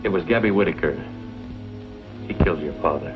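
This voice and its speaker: Male actor as cowboy villain, deep pitch, coaxing and menacing, old-time western, muffled audio. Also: brisk, close to an everyday voice. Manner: smooth-talking, turning threatening. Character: menacing male crook coaxing and threatening a boy. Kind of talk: old western film acting. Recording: muffled vintage film soundtrack, music underneath.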